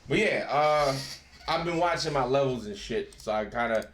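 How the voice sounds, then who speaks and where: A man hosting his own livestream, chatting casually in a husky voice, laid-back and profane, his microphone distance shifting. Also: medium pitch, animated, moderate pace, fairly bright tone, a little hiss or hum. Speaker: streamer and show host. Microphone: desk microphone, distance varies.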